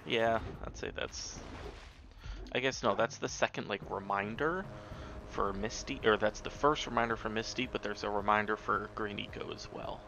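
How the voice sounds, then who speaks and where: A man giving casual live gameplay commentary as a streamer, low-key and thinking aloud. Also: steady tone, normal speed, dull audio, noisy background. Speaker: game streamer. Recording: game music behind voice. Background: video game music.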